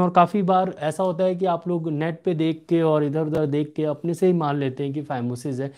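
A young male homeopathic doctor answering viewer questions in a live clinic, steady and instructive, slowing down to read questions aloud, recorded on a desk webcam in a small home room. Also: on the high side.

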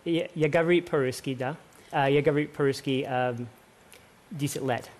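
A man in his forties with an American accent, English native, answering slowly in broken Russian with many fillers as a guest on a studio dating show. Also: mid-range voice, darkish tone. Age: 40s. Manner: hesitant, many fillers, short replies. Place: large television studio.

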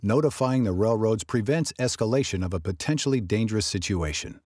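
A man reading scripted narration for a training video in a measured, instructional way. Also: fairly low pitch, lively intonation, a little mellow, breathy edge.

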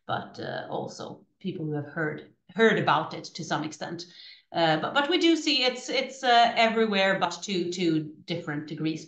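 A woman speaking as a panelist on a video call, halting and repeating words as she works through her thoughts. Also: lowish voice. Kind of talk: webinar panel discussion. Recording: echoey room on a video call.

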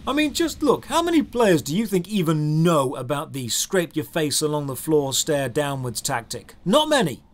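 Animated, high-pitched sarcastic male game reviewer. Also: moderate pace, few pauses. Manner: sarcastic, theatrically exasperated. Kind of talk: scripted gameplay review narration.

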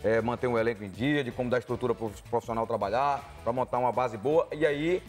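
A man's voice: medium pitch, fast, soft background music. A middle-aged man with a Brazilian accent giving conversational football commentary in a TV studio.

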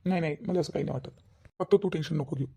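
A lovesick young cartoon husband played by a man, with a high, mellow voice and a creaky edge. He speaks softly and dutifully in a Varhadi Marathi accent, repeating himself as he goes. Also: very fast. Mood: reassuring. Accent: Varhadi Marathi from Vidarbha. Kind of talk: cartoon family drama dialogue.

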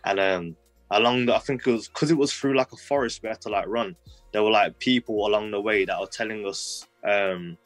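Brief: young man, low and dry, loose rambling storyteller, faint music underneath. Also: a little fast, dry recording. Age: 20s. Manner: rambling, heavy use of "like" fillers, street slang. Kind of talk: casual personal storytelling.

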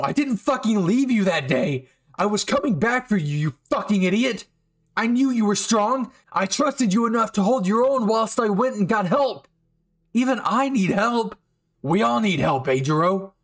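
A man's voice: sits high, animated, measured pace, voice pushed up, heavily acted. A man voicing a hot-tempered, loyal young hero in an anime roleplay, intense and pleading. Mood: angry, pleading. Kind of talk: anime fan ASMR roleplay acting.

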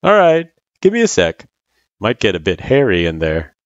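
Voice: deep voice, wildly swooping pitch, normal speed, dry recording, highs cut off. A narrator voicing a laid-back, caring male monster who talks casually in an audio story. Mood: relaxed.

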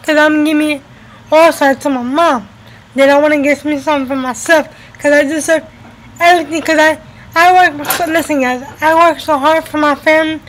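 A woman's voice: high voice, fairly even intonation, a little fast, slight background noise, poor audio. A woman in her twenties from the United States, chatting casually to camera as a vlogger and repeating her phrases for emphasis. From United States, New Jersey and Florida. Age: 20s. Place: indoors at home by a window. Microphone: webcam at arm's length.

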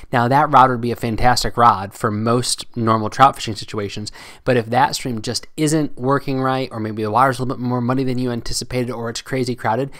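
A man's voice: mid-range voice, a bit bright. A middle-aged man hosting a solo podcast, talking loosely and conversationally with frequent restarts. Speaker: podcast host.

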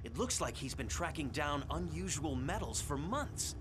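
A man's voice: on the high side, sing-song, normal speed, noisy background. A man voicing a serious, dry young vigilante superhero in a video game. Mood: focused. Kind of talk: video game cutscene acting. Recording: loud music behind the voice.

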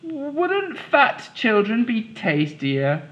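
A man doing a small brave girl, high and polite. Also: drawn-out words, heavily acted. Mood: politely sly. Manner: polite, calm and clever. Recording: dull lo-fi recording.

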